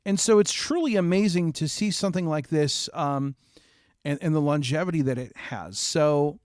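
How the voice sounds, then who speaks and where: Casting: male game-stream host, slightly high voice, informal and jokey chatter, close dry microphone. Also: no echo. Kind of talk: casual game stream chat.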